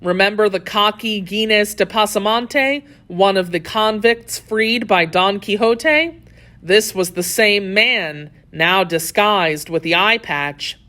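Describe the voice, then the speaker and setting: A woman narrating a children's story from a script, telling it in an expressive, deliberate way. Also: medium pitch.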